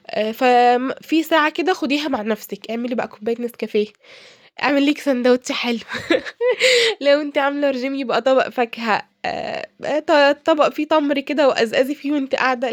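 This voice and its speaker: Casting: young Egyptian woman cooking vlogger, stuffy from a cold, brisk and chatty, dry close sound. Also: sits high, no echo. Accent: Egyptian Arabic. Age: young adult. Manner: chatty, many fillers and restarts. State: congested from a cold. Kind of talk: casual advice while cooking.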